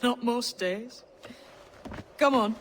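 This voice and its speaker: An actress playing a bold, sardonic young female pirate in a video game, talking in a brisk, wry way. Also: a little high, slight background noise.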